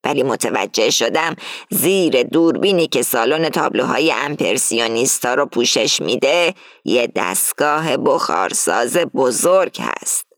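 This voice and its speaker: A woman reading as an older female gang leader who lays out a heist plan firmly and deliberately. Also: very deep voice, lively intonation, dry recording, studio quality, voice pushed down. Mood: scheming, instructing. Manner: firm, explaining slowly and deliberately.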